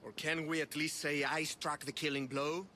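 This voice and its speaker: An actor playing a boastful would-be monster hunter in a video game, wordy and grandiose. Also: male voice, on the high side, flat delivery, moderate pace, bright, forceful tone, slight background noise. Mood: boastful, eager. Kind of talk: video game character acting.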